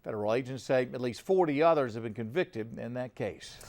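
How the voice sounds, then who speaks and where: A man's voice: medium pitch, fairly quick, darkish tone. A man with an American accent reading scripted news as a television anchor.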